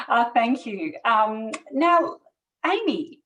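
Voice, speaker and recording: A woman's voice: fairly high pitch, animated, drawn-out words, a bit bright, recorded from a distance, rough recording. A woman hosting a webinar interview, thanking each guest briefly and posing the next question. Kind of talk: interview moderating.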